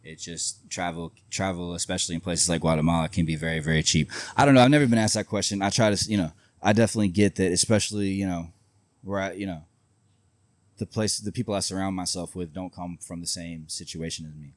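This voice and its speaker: A man with a very low voice talks bluntly and swears often, drifting through his thoughts with plenty of fillers. The sound is dry and close, with no room around it. He sounds like a guest in a loose, casual interview. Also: steady tone, quick pace, no room sound. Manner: blunt, profane, rambling with many fillers. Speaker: independent wrestler, guest.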